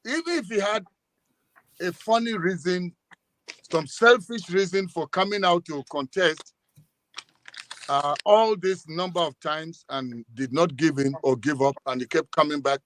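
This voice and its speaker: A Nigerian-accented man speaking as a guest commentator in a live political discussion, emphatic, halting and repeating himself as he argues. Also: fairly high pitch, fairly bright tone, a little hiss or hum, rough recording.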